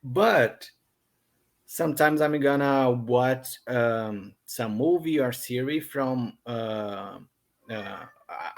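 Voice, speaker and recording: Slow, halting Brazilian man. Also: medium pitch. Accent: Brazilian Portuguese. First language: Portuguese. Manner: hesitant, many ums and restarts. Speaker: English learner. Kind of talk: casual online lesson chat. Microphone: video call.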